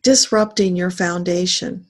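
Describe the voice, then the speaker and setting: A woman leading a guided spiritual meditation as a teacher, speaking solemnly in short, deliberate phrases with pauses. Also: on the low side, fairly even intonation.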